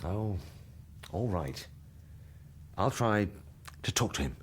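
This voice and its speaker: A man acting in a British radio drama as a dry, wary English friend, husky and sardonic. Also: on the low side, expressive, slow pace, background noise. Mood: concerned. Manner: dry, questioning, exasperated.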